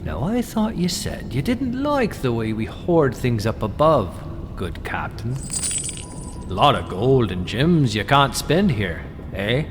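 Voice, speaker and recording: A blunt, sceptical harpooner held captive in a sea adventure radio drama. His mid-range voice rises and falls sharply, drawing words out slowly and mockingly. A clear rumble sits behind him. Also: very animated. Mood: sarcastic. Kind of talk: dramatic audio drama acting.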